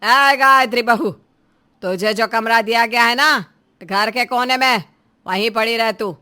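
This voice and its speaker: A woman voicing a harsh, contemptuous older Indian mother-in-law in a melodramatic audio story, berating in a shrill manner. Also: measured pace, bright, forceful tone. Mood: scolding.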